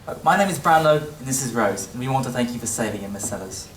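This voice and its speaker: An actor playing a kindly, genteel gentleman in a radio play on stage. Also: mid-range voice, lively intonation, fast, lightly acted. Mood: kindly, grateful. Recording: echoey stage from far off, faint noise.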